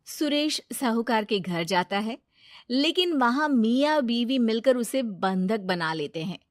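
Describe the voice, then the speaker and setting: A woman's voice: fairly high pitch, expressive, measured pace, dry recording, studio quality. A woman narrator reading a scripted moral story.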